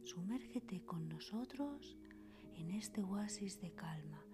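Female meditation podcast host, soothing breathy whisper, very slow delivery, scripted sleep guidance with clear background music. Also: medium pitch, expressive. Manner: soothing, unhurried pauses between short phrases. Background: soft meditation music with singing bowls.

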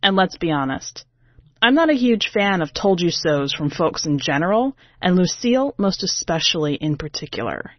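Brash, sassy low-voiced woman playing a city diva. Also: sing-song, moderate pace, dry recording, muffled recording. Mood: brash, boastful. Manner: sassy, slangy, irreverent, emphatic. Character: brash, sardonic urban woman singer, self-declared diva, telling her tale. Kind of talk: first-person story reading, conversational.

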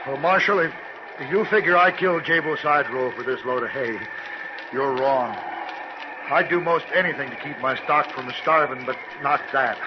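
An actor playing a harried, stubborn, plain-spoken frontier homesteader with a rural western American accent. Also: male voice, medium pitch, moderate pace, natural voice. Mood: uneasy, defensive. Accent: rural American West. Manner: folksy, defensive. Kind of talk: 1960s radio drama acting. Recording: noisy, muffled vintage radio recording.

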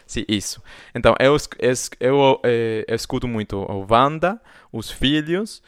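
An Argentine man whose first language is Spanish, co-hosting a podcast in Portuguese with a clear Argentine accent, halting as he searches for words. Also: mid-range voice, expressive, no room sound, studio quality. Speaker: podcast co-host. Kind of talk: casual chat.

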